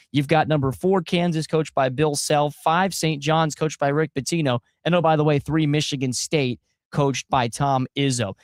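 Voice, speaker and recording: A young adult man filling in as a sports talk radio host, energetic and quick with follow-up questions on a studio mic. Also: a little high, no echo. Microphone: studio broadcast mic.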